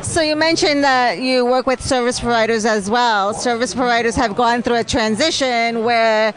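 A woman's voice: a little high, moderate pace, rough recording. A woman in her forties interviewing a guest on a trade show floor, asking polished questions into a handheld mic. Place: busy expo hall floor. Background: crowd chatter.

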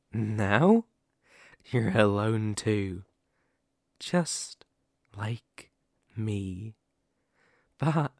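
A man voicing a sadistic, possessive werewolf, wounded and menacing, in a dramatic ASMR roleplay monologue with drawn-out pauses. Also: medium pitch, no room sound, clean studio sound. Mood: pleading, possessive.

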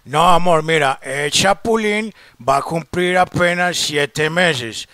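A Colombian man making a comedic prank call, smooth-talking and full of pet names. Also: a little high, takes it slowly, sharp tone, no echo. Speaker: prank caller, show host. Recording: very noisy phone-call recording.

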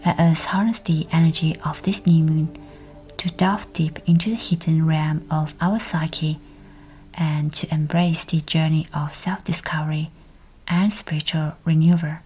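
Female meditation guide: low, hushed and breathy, very even delivery, faint music underneath. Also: a little slow.

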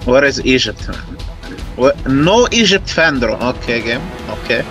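A man's voice: mid-range voice, very animated, a little slow. Joking gamer man over game music. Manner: joking, swearing, playful broken phrasing. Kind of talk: casual voice chat while gaming. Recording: game music behind voice chat.

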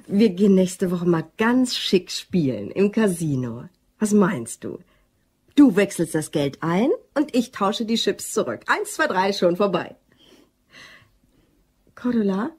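Husky, cool scheming woman. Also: medium pitch, big pitch swings, a little slow, a little mellow. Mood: confident, scheming. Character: cool, scheming female office worker and partner in crime. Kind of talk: German crime radio drama acting.

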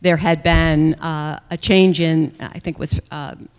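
A woman, a school committee member, asking a measured, explanatory question from the audience in a large function room. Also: deep voice, fairly even intonation, clean tone. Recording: long reverb in a large room.

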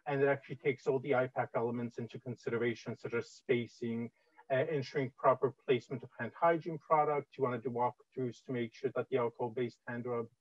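A man's voice: medium pitch, fairly even intonation, a little fast, no echo. A man in his thirties with a Canadian accent, presenting a training webinar over slides on a video call, with frequent "uh" fillers in a conversational way. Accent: Canadian English. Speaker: webinar presenter on infection prevention. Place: indoor room, on video call. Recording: dull, dry video-call audio.

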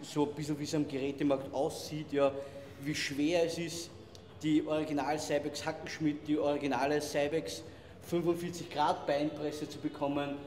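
A man in his thirties with an Austrian accent, chatting casually and haltingly as a visiting vlogger. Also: a little high, little pitch movement, darkish tone, slight background noise. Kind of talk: casual chat. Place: indoor gym rooms. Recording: echoey hall-like rooms, faint music. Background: faint music.

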